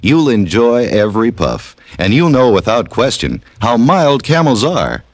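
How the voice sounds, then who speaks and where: A man with an American accent delivering a scripted old-time radio advertisement as announcer, deliberate and emphatic. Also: medium pitch, big pitch swings, no room sound.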